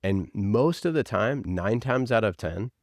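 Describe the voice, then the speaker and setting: A man's voice: on the low side, lively intonation, measured pace, a little mellow, no echo, clean studio sound. A man speaking casually as an interview guest, making his points with emphatic, passionate conviction and rhetorical questions. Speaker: podcast producer and guest. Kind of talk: casual conversational interview talk.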